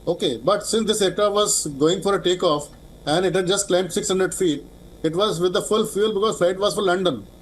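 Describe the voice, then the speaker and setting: Halting Indian man, slightly high voice. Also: slight background noise, low-quality recording. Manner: hesitant, halting, with repeated words. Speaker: aviation expert, interview guest. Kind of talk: live expert commentary in a news interview.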